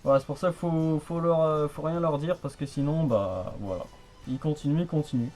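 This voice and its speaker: A man's voice: on the high side, brisk, a little mellow, soft background music. A man chatting casually on the radio in a rambling, hesitant, repetitive way. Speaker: amateur radio hobbyist.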